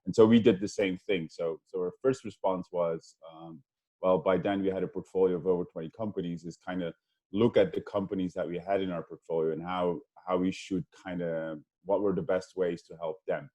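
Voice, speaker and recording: A man from Belgium with a very low voice talks on a webinar call. His tone is dark and mellow. He hesitates often, with many ums and restarts. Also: fairly even intonation, fairly quick. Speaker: investment fund co-founder. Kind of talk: webinar panel talk.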